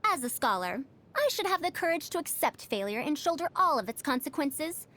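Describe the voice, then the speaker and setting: A woman's voice: high voice, sing-song, fairly quick, a bit bright. A woman voicing a proud, fussy century-old scholar with a youthful girlish voice, pompous and lecturing, for a video game. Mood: proud, resolute. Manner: pompous, lecturing, old-fashioned elder phrasing. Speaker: game character voice. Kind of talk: animated video game voice lines.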